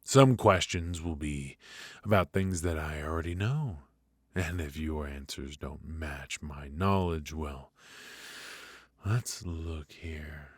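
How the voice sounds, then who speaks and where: A man playing a ruthless, taunting mafia boss in an intimate ASMR roleplay, slow and menacing with mock politeness and deliberate hesitations. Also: very deep voice, slightly rough, dry recording, studio quality, heavily acted. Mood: cold, threatening. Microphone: close studio microphone.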